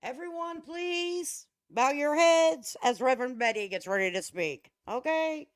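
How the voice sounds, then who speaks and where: Animated, sarcastic woman livestreamer. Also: high-pitched, unhurried. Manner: sarcastic, mocking, folksy. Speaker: livestream host. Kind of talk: reaction livestream commentary, casual chat.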